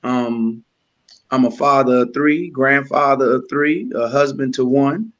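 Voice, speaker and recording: A man's voice: medium pitch, a little slow, fairly bright tone, lo-fi sound. A middle-aged or older man with a Washington DC American accent, a comedian guest joking casually in slangy, wisecracking talk. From Washington DC, United States.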